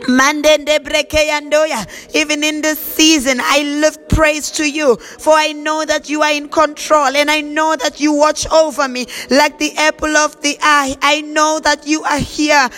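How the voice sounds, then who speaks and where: A woman's voice: sits high, flat delivery, unhurried, hardly any pauses, fairly bright tone, faint music behind. A woman leading a fervent spoken prayer, with repeated declarations and stretches of speaking in tongues. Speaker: prayer leader.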